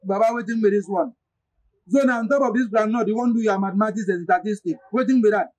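Husky, high-voiced cheeky Nigerian worker. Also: male voice, fast talker, a little mellow, poor audio. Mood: grumbling, teasing. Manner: cheeky, contrary, insistent. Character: cheeky, argumentative younger car-wash worker. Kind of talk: comedy drama acting in Nigerian Pidgin.

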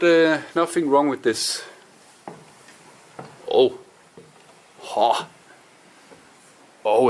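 Slow-talking man exploring. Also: medium pitch, a little hiss or hum. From Denmark. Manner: musing, halting, talks to himself while exploring. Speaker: urban explorer. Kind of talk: casual walkthrough narration. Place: abandoned house and barn, indoors and outdoors.

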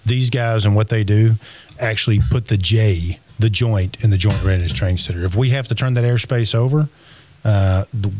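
A man's voice: lowish voice, moderate pace, darkish tone, slight background noise. A middle-aged man explaining things informally and emphatically as an interview guest. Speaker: podcast guest. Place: small indoor podcast studio. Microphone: close desk microphone.

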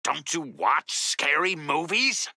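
Sharp raspy slasher villain. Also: male voice, a little high, big pitch swings, no echo, studio quality, heavily acted. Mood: gleeful menace. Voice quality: raspy, gravelly, harsh. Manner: mocking, drawn-out threats. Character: sharp, mocking masked slasher killer, male, gleefully threatening. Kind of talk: video game villain voice lines.

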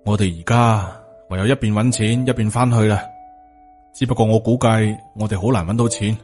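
Stoic Taoist master for an audiobook, read by a man, Hong Kong Cantonese, subdued and lowish, unhurried, faint music. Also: natural voice. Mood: resigned. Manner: flat, unhurried, lecturing. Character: stoic, world-weary male Taoist master.

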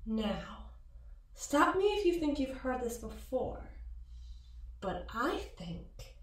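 Echoey, slow-taunting mirror double played by a woman. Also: high voice, sing-song, exaggerated voice. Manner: mocking, cooing, drawn-out teasing. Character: taunting female mirror alter ego, sly and seductive. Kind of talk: ASMR roleplay acting. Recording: echoey, distant-sounding voice.

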